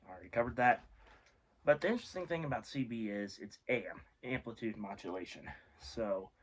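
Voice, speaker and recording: A man's voice: lowish voice, expressive, brisk, slight background noise. A man giving a casual, informal explainer as a video host, rambling and offhand, often correcting himself. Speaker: host of a radio hobby video series.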